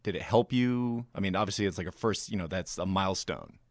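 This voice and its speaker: A man's voice: low-pitched, a little fast, no room sound, clean studio sound. A man hosting a casual radio interview, asking loose, rambling questions that often restart.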